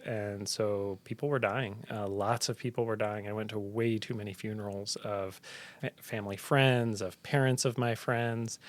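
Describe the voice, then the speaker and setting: A middle-aged man from Tanzania, a health center director, answering interview questions in an earnest, reflective storytelling manner. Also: on the low side. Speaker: health center executive director, podcast guest.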